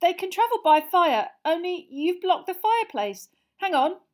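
A woman's voice: very high voice, big pitch swings, normal speed, voice pushed up. A woman voicing an earnest, polite young teenage boy wizard for a storybook, explaining things. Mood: amused, explaining.

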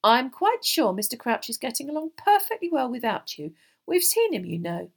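A woman's voice: high-pitched, sing-song, normal speed, gravelly, pitched above the performer's own voice, heavily acted. A woman voicing an earnest young girl in a storybook, firm and reasoning as she speaks. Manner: insistent, reasoning.

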